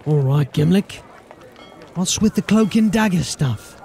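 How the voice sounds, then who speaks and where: A man playing a determined, embattled police sergeant in a dramatic audio drama, speaking earnestly and deliberately. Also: sits high, expressive, mellow, soft background music. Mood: guarded, wry.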